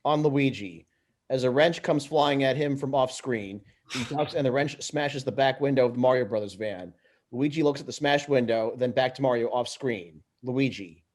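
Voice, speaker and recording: A man's voice: mid-range voice, normal speed, darkish tone. A man reading screenplay stage directions aloud as narrator. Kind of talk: read-aloud script narration.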